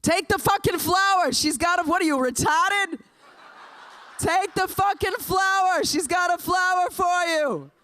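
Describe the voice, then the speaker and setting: A woman voicing an indignant sidewalk bystander in a put-on Boston accent, shouting loudly in a stage story. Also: measured pace, full character voice. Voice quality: shouted, high-pitched.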